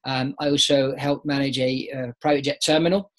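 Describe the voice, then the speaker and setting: A man's voice: medium pitch, steady tone, moderate pace. A British man in his forties, a business founder, answering interview questions over a video call from a home office. He talks with his hands and often repeats words as he restarts. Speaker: guest, aviation business founder. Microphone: laptop webcam on a video call.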